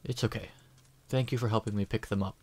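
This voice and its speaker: A woman playing a kind, patient adventurer in a fantasy audio drama, talking in a gentle, casual, reassuring way. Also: rumbling low, fairly even intonation, fast talker. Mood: gentle, wistful.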